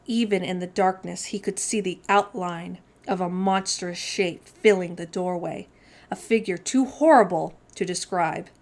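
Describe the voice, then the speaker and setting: A woman's voice: lowish voice, a little slow, darkish tone. A woman hosting children's storytime, reading a storybook aloud with dramatic, suspenseful phrasing.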